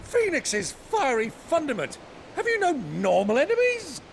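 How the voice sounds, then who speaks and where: An actor playing an eccentric, boastful veteran warrior with a florid, grumbling manner in a video game. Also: male voice, extremely high pitch, huge pitch swings, measured pace, creaky, very noisy, heavily acted. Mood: exasperated. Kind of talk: cinematic video game acting.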